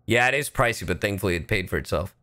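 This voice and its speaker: A man chatting casually on a gaming livestream, animated and profane, swinging between loud outbursts and low asides. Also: fast. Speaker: streamer and voice actor. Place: indoor livestream setup.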